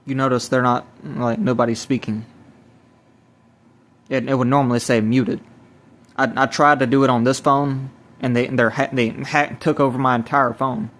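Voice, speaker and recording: A man talks in a rambling, personal way, like someone recording his own testimony. His pitch sits in the middle and barely moves. He is halting, often repeating and restarting his phrases. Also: level tone, a bit bright. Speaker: self-described evangelist recording a personal video. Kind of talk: rambling spoken testimony to camera or phone.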